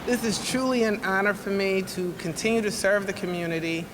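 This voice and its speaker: A woman's voice: medium pitch, a little fast. Proud, sincere woman in a noisy hall. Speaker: workforce development worker, commission member. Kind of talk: formal public statement. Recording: echoey hall with noise.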